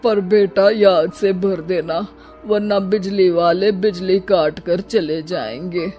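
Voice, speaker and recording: A mild elderly father from a cartoon , voiced by a woman. He sounds low and gently fussing, over faint music. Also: fairly even intonation, slow pace. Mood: gently reminding.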